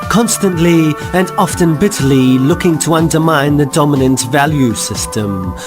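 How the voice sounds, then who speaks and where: A man giving wry, measured scripted narration for an audio drama. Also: fairly high pitch, expressive, over music.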